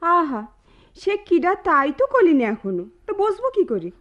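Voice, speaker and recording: An actress playing a sharp-tongued, teasing young village woman in a radio drama, with a rural Bangladeshi Bengali accent. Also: extremely high pitch, big pitch swings, moderate pace, exaggerated voice. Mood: coaxing, sly. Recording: muffled radio drama recording.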